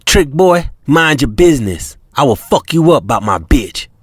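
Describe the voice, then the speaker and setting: A man's voice: mid-range voice, very animated, normal speed, breathy edge, no room sound, clean studio sound. A man voicing a menacing, vulgar pimp who sneers and threatens. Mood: furious. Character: menacing middle-aged male pimp, crude.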